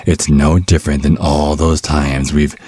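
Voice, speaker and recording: Casting: male actor for cunning vampire captive, ASMR roleplay. Rumbling bass, dry close sound, taunting and mock-polite. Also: level tone, unhurried, a little mellow, breathy edge, no echo, full character voice. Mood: smug, taunting. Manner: sardonic, mock-polite, teasing with pet names. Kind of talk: ASMR roleplay audio drama.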